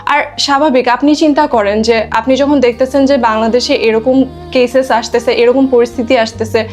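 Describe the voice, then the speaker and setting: Young woman, Bangladeshi accent, higher-pitched, emphatic interview guest, English phrases mixed in, light music underneath. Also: steady tone, moderate pace. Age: 20s. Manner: mixes English phrases into speech, emphatic with tag questions. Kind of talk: conversational discussion. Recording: music behind the voice.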